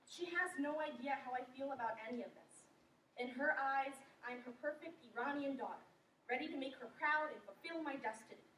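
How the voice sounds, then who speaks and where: A woman's voice: sits high, a little fast, fairly bright tone, a little hiss or hum. A young woman acting a rebellious teenage daughter of strict parents in a stage play, with an earnest, defiant delivery. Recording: echoey hall from far off.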